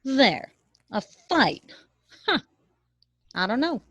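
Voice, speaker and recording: A woman's voice: high-pitched, very animated, very slow, a bit bright, no echo, lo-fi sound, full character voice. An actress playing a plain-spoken, practical radio woman with a folksy, take-charge manner in a radio drama. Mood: reassuring.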